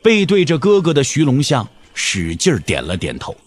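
A man narrating an audio drama with dramatic, expressive scripted storytelling. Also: medium pitch, moderate pace, husky.

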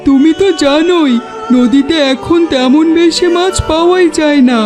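Father duck for kids' cartoon, acted: very high, anxious, protective, earnest, pleading; light music underneath. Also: normal speed, close to an everyday voice. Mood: weary. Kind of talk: children's cartoon voice acting.

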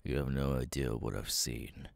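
A man playing a stoic, battle-hardened military captain with a husky, breathy voice in a dramatic ASMR audio roleplay, speaking in terse, deliberate phrases. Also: rumbling low, dry recording, exaggerated voice. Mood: steady, reassuring. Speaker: voice actor, ASMR artist.

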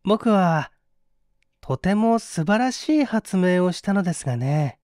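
A scheming male prisoner acted by a man reader speaks in a high, lilting voice. He is courteous and ingratiating, softly coaxing as in an audiobook drama, recorded close and dry. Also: expressive, unhurried, no echo. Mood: politely coaxing. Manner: soft-spoken, ingratiating. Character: sly, meek-seeming male prisoner, coaxing and polite.

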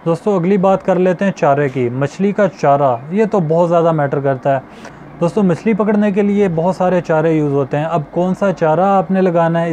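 A young man talks straight to camera in a small studio, teaching fishing basics one step at a time and circling back to repeat his points. His voice sits a little high but sounds soft and mellow. A faint hum of noise runs beneath the lapel mic. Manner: explanatory, repeats points, addresses viewers as friends. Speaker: fishing channel host. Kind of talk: instructional talk to camera. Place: small indoor studio with starry backdrop.

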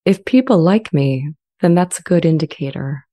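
A woman playing a gentle, earnest artificial intelligence in a dramatized reading, speaking in measured, articulate phrases. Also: deep voice, expressive. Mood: curious, gentle. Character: sentient AI language model, earnest, gentle, eager to be accepted. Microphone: close studio microphone with pop shield.

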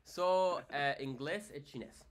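A young man speaking halting beginner Portuguese mixed with English, searching for words with many fillers. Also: fairly high pitch. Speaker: language-learning vlogger. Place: coffee shop, then video call at home.

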